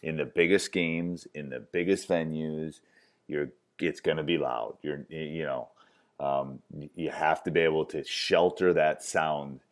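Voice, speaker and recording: A man with a loud voice teaching basketball coaching tips, emphatic and repetitive, with frequent "um"s. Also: extremely low pitch, steady tone, unhurried. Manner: emphatic, repeats key points, many fillers. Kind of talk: coaching clinic talk.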